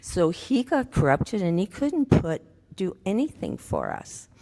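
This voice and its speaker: A woman's voice: mid-range voice, huge pitch swings, a little slow, warm tone. An older woman from Alberta asking a question from the floor at a public meeting, chatty and laughing between points. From Alberta, Canada. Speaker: audience member asking a question. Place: community hall. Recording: long hall reverb.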